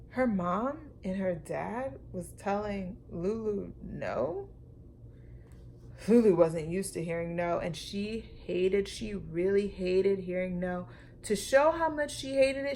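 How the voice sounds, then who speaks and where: A woman reading a story aloud to children like a teacher, expressive and emphatic, with dramatic pauses and questions to her young listeners. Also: medium pitch, vocal fry, a little hiss or hum.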